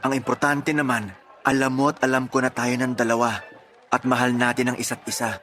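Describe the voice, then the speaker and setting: A man's voice: medium pitch, little pitch movement, a little fast, pushed and bright, soft background music, natural voice. An actor playing a giddy, bashful young suitor in a radio drama, flustered and eager in his delivery. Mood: tender, devoted. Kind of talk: Tagalog radio drama acting.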